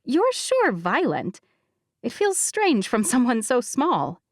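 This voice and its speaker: A wry young woman, as the narrator speaks her part, dry and self-mocking with a lively lilt. Unhurried, close and dry to the microphone. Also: on the high side, dry recording, pitched above the performer's own voice, exaggerated voice.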